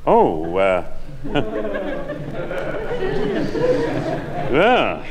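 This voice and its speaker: A man's voice: mid-range voice, huge pitch swings, very slow, crowd noise, background noise, full character voice. A man playing a blustering old grandfather in a 1960s radio sitcom, hesitant when cornered. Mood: evasive bluster. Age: older. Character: blustering old grandfather, former merchant seaman. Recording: reverberant old radio recording.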